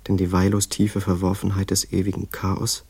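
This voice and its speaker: Radio drama casting: male literary narrator, husky and creaky, measured delivery, dry close sound. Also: deep voice, completely flat delivery, a little mellow, no room sound, full character voice. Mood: dark, incantatory. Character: male literary narrator telling an inmate's strange story.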